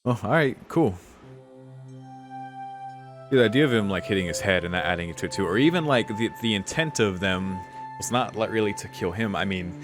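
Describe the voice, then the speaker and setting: A man's voice: lowish voice, lively intonation, normal speed, long pauses. A man giving casual let's-play commentary, rambling and thinking aloud in slangy speech. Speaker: video host, game player. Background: game music.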